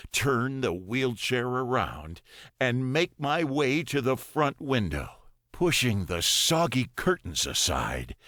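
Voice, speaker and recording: A man reading a first-person story as an audiobook narrator, deliberate and dramatic, pausing between phrases. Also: mid-range voice, fairly bright tone, no room sound, clean studio sound.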